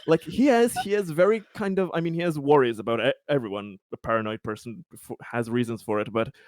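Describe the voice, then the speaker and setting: A man's voice: mid-range voice, normal speed, no echo. A man playing a tabletop game who swears freely, laughs often and acts out his character's worried inner thoughts. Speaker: player. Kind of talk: animated tabletop game chat.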